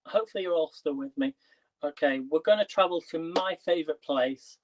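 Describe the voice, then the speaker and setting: A man's voice: on the high side, brisk, a little hiss or hum. A man hosting a casual kitchen livestream, chatty and rambling, who is losing his voice. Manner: chatty, rambling, restarts questions to viewers. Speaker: livestream host. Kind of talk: casual live-stream chat. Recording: dull lo-fi livestream audio.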